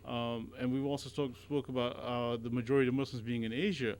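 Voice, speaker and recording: A man as a radio show guest, talking in casual conversation, earnest and warm, stumbling over his words and restarting often. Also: mid-range voice, fairly even intonation, a little hiss or hum. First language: not Arabic. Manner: halting, with many restarts and repeated words.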